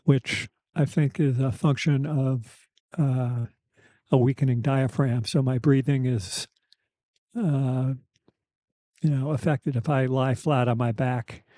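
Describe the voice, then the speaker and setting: Soft-voiced mature man, mellow and halting. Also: mid-range voice, steady tone, no echo. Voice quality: soft, slightly weakened voice; slight lisp, thick-tongued articulation. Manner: halting, candid, wry. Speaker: podcast host. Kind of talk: reflective personal conversation.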